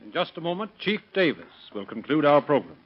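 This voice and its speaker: A man working as a polished, formal 1930s radio announcer and narrator, reading a scripted sponsor pitch. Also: very animated, a little fast, soft background music. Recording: muffled vintage radio recording.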